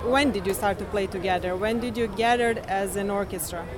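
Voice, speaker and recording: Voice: medium pitch, fairly quick. An interviewer asking questions in broken, non-native English. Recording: noisy street with crowd.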